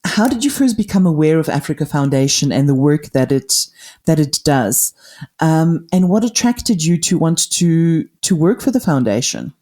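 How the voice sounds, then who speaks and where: A woman's voice: deep voice, big pitch swings, normal speed, mellow. A woman hosting a podcast interview, welcoming her guest and asking friendly, polite questions with some fillers. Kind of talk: hosted interview.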